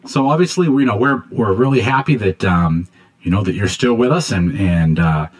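A man's voice: fairly low pitch, expressive, normal speed. A man co-hosting a radio interview, talking loosely with lots of fillers and restarts. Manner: loose, filler-heavy, easygoing. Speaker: radio co-host. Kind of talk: casual interview chat.

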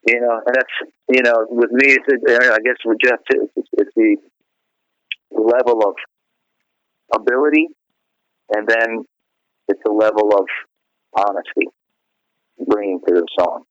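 A man's voice: lowish voice, a little slow, long pauses, a bit bright, dry recording. A middle-aged male singer being interviewed, chatting casually and rambling with lots of "you know" fillers and restarts. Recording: dry, dull lo-fi radio sound.

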